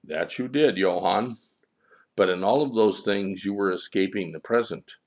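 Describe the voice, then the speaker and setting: A wise storybook child, read by a man, stern and all-knowing. The voice stays low and solemn, laying out each admonishment in firm, repeated declarations. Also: moderate pace, a little mellow, lightly acted. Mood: stern, admonishing. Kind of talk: storybook character dialogue.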